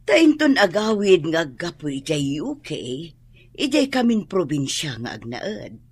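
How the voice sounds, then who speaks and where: An actress playing a practical older landlady and mother in a radio drama, with a husky voice and an Ilocano accent. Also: lowish voice, very animated, measured pace, no echo, exaggerated voice. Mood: matter-of-fact.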